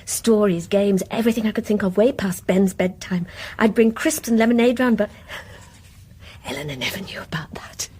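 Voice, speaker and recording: An actress plays a lonely British wife in a radio play, dreamy and troubled. Her voice is a little husky, and she confides in a wistful, sighing way. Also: mid-range voice, moderate pace, a little mellow, lightly acted. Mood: nostalgic, sad. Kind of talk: radio drama acting.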